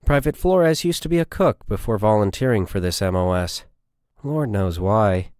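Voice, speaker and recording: A man's voice: on the low side, expressive, moderate pace, darkish tone, clean tone, dry recording, clean studio sound. A man reading a scripted military science fiction story as an audiobook narrator.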